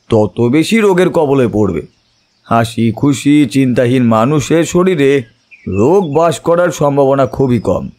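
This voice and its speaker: A man playing a self-assured, philosophical private detective in an audio drama, speaking in a measured, instructive way. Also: medium pitch, a little mellow, lightly acted.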